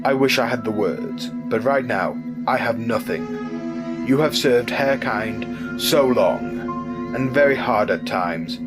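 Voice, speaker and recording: A man's voice: medium pitch, slow, lightly acted. A man playing an earnest, authoritative rabbit starship captain in a sci-fi audio drama. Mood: grave, regretful. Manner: authoritative, solemn. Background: music.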